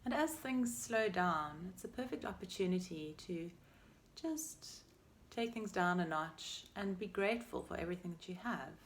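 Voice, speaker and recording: A woman leading a guided meditation as a teacher, speaking in a gentle, unhurried and soothing way with pauses between phrases. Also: lowish voice, lively intonation. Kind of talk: guided meditation, body scan.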